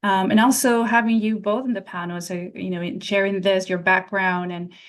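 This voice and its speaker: A woman moderates a panel and affirms each speaker. Her delivery is steady and flat, at a middle pitch. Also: moderate pace. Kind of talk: panel discussion.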